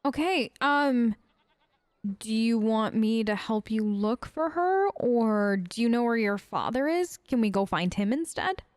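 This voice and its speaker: A woman giving casual, chatty role-play commentary over a video game, playful and gentle, often hesitating and tagging on questions. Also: a little high, lively intonation, unhurried, no echo, clean studio sound. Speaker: gamer playing a role-play let's play.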